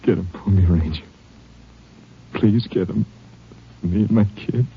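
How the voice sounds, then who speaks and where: An actor in an old radio drama playing a gravely wounded deputy, a grieving father, who talks haltingly and pleads. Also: deep voice. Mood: grieving, pleading. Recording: dull vintage radio recording.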